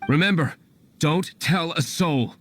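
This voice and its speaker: An actor playing an aloof, secretive young nobleman in a video game, reserved, formal and deadpan. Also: on the high side, slow pace. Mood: stern, dismissive.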